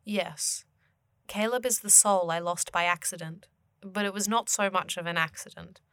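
A woman playing a solemn otherworldly guardian of souls in a fantasy audio drama, with formal, measured wording. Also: on the low side, bright, forceful tone, clean tone, no room sound, studio quality. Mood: grave.

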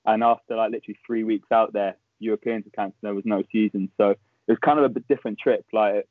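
A young man with a Southern English accent, a podcast guest chatting quickly with dry humour. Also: fairly low pitch, steady tone, no echo. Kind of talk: casual interview chat. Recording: tinny phone-like call line, dry.

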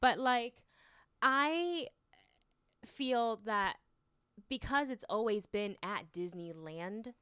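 A woman who grew up in Taiwan chats casually on a podcast. She is animated and acts out what people said. Her voice is smooth and resonant, recorded dry and close. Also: a little high, takes it slowly, pauses often, no room sound. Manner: animated, acts out quotes, laughs while talking. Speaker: podcast co-host. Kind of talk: casual chat.

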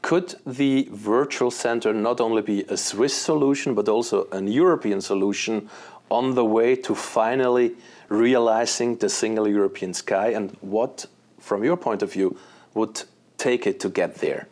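Interviewer, man, lowish voice, measured formal questions. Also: a little mellow.

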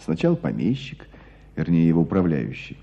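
A man acting in a radio play as a knowing hunter who tells a story with deliberate emphasis. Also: sits low, huge pitch swings, dark tone, heavily acted. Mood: animated storytelling. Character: knowing male hunter recounting an old court case. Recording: dull, muffled radio play sound.

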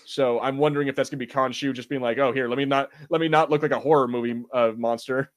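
A man with a medium-pitched voice co-hosts a casual pop culture podcast. He talks in a loose, rambling way, circling back over his points and swearing freely. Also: fairly even intonation, fast talker. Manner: rambling, profane. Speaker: podcast co-host. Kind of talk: casual podcast banter about film and TV.

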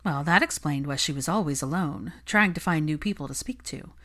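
A woman's voice: sits low, a little fast, fairly bright tone. A woman reading scripted story narration as an audiobook narrator. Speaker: fan fiction audiobook narrator.

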